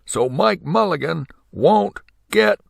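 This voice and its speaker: Man putting on a smug, high-pitched mean townsman. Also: animated, slow pace, gravelly, no echo, studio quality, exaggerated voice. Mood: smug, gloating. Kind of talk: children's storybook reading.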